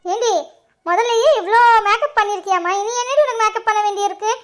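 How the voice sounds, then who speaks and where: This is a fussing middle-aged mother who nags and teases her grown children without a pause. Her voice sits very high and bright, and she talks quickly, played by a woman in a comedy skit. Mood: teasing. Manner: scolding, teasing, fast. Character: nagging middle-aged mother, sharp-tongued.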